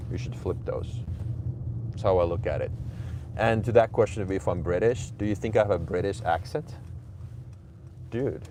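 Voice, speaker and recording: A Finnish man in his forties, chatting candidly and giving motivational talk on a live stream from his car. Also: low voice. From Finland. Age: 40s. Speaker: livestream host. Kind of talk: casual live-stream chat, reading chat aloud. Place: car, driving to his office. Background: vehicle noise.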